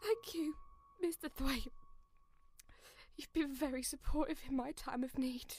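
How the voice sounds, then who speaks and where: Woman acting a very high-voiced, grieving British widow. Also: measured pace, a little mellow, slight background noise. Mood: subdued, tearful. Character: grieving, fragile Victorian widow, genteel and distraught. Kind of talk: radio drama acting.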